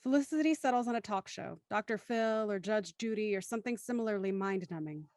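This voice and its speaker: A woman's voice: mid-range voice, a little fast, a little mellow, no room sound, clean studio sound. A woman reading scripted, present-tense narration for an audio drama as its narrator.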